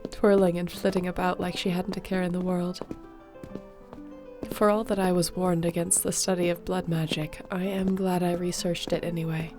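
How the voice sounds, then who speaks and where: A woman voicing a court sorceress in a scripted audio roleplay, speaking gently and conversationally. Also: lowish voice, little pitch movement, moderate pace, music in the background. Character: warm, loyal female court sorceress.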